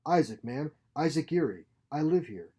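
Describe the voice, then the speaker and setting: Exiled sci-fi scientist played by a male reader: glib schemer, theatrical, husky, mellow. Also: fairly high pitch, big pitch swings, normal speed, voice pushed up. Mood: feigned panic.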